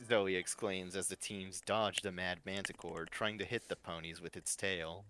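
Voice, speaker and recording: Low-voiced man narrating a story. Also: steady tone, normal speed, music in the background. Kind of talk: live scripted fan-story narration.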